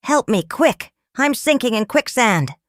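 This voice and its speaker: An ungrateful cheat of a pirate from a children's storybook, played by a woman reader. He is excitable and yelping, high in pitch, in a dry recording. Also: measured pace, no echo. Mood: panicked.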